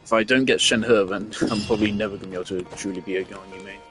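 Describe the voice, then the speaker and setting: Man, lowish voice, offhand gameplay talk, rambling and self-correcting, faint game music behind. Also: fairly even intonation, fast talker, a bit bright. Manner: rambling, thinking aloud with restarts. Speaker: gameplay streamer. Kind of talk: casual gameplay commentary.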